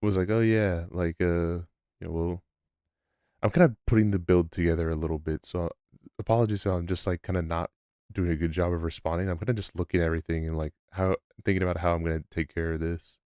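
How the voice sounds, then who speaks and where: A man with a low voice chatting casually on a live stream, rambling and self-deprecating. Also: steady tone, fast talker, a little mellow, no room sound. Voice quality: low-pitched voice. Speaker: livestreamer. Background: background music.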